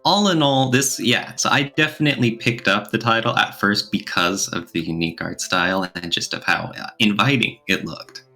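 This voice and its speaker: Guy on a podcast, kinda low but bright clear-cut voice, explaining his take, soft music behind. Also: normal speed, hardly any pauses. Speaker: podcast co-host. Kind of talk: casual podcast discussion, reviewing.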